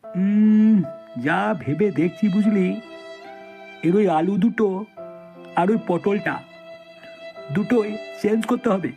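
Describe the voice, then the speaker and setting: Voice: mid-range voice, slow pace, long pauses, warm tone, close to an everyday voice. Someone doing a grumpy foul-mouthed male cartoon doctor, sleazy and blustering, light music behind. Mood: pondering. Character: crude, bad-tempered, lecherous male cartoon surgeon. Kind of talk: comedy cartoon dubbing.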